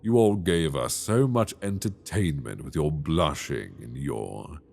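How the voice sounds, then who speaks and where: A man voicing a possessive, teasing dragon king in human form in an ASMR audio roleplay, speaking slowly and intimately into a binaural mic. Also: lively intonation, full character voice. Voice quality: deep, gravelly. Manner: slow, intimate, teasing, soft-spoken.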